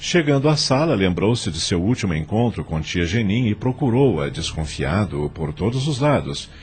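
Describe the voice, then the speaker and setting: A man's voice: fairly low pitch, sing-song, moderate pace, faint music behind. A man with a Brazilian accent narrating an audio novel as scripted, dramatic storytelling.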